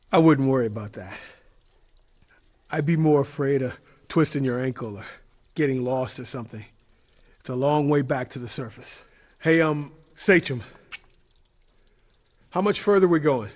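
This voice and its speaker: Husky, wary young survivor. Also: male voice, mid-range voice, animated, measured pace, long pauses, no echo. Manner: casual, colloquial storytelling. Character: wary, plain-spoken young male survivor. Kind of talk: audio drama narration and dialogue.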